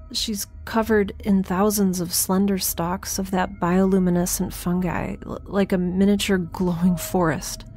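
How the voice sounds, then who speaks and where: A woman playing a nervous, wry young scientist who narrates a field log in a halting, conversational way for an audio drama. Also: mid-range voice, darkish tone, close to an everyday voice. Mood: shaken, grieving. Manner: halting, self-interrupting, conversational.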